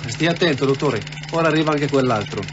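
Radio drama officer male actor: brisk, explanatory, officious, muffled sound with machine hum. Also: medium pitch, close to an everyday voice. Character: officious police cameraman explaining evidence.

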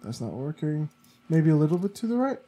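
A man's voice: a little high, very animated, a little fast, dark tone, slightly rough. A sniffly, congested man giving casual gameplay commentary, muttering to himself as he plays. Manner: muttering to himself while playing. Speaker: let's play gamer.

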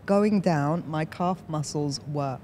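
A woman hosts a podcast, chatty and quick to laugh, with frequent casual fillers. Her voice sits very low and mellow. A faint rumble runs under the studio boom mic in an office lounge. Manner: chatty, laughs often, fills with "like". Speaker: podcast host. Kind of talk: conversational interview. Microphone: boom-arm studio mic.

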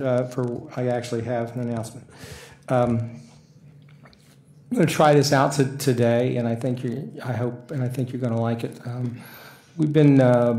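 An older man with an American accent, chairing a formal council meeting in a halting, procedural way. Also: medium pitch, dark tone. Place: council meeting room, indoors. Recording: echoey council chamber.

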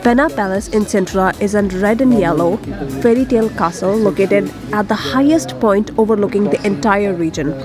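A woman's voice: medium pitch, normal speed. A young adult woman talking casually as a travel vlogger. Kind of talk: casual travel vlog chat and narration. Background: loud background music.

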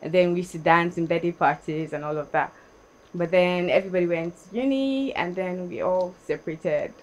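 Chatty young Nigerian woman, low-pitched. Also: fairly quick, low-quality recording. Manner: laughs often, chatty and lively. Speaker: guest, dancer. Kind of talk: casual interview chat. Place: decorated studio set.

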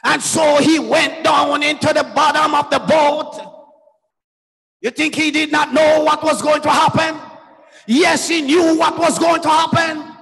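A man preaches in a slow, shouted, half-sung cadence. His voice sits unusually high and carries a gravelly fry at its edges. It rings out in a long hall reverb over faint music. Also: steady tone. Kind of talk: fervent shouted sermon.

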